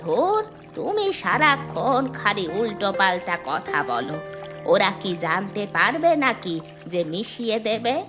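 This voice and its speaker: A woman's voice: mid-range voice, big pitch swings, measured pace. A woman voicing a scheming village wife in a cartoon, bossy and impatient with her husband. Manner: impatient, scolding. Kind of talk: dubbed cartoon dialogue. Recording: music in the background.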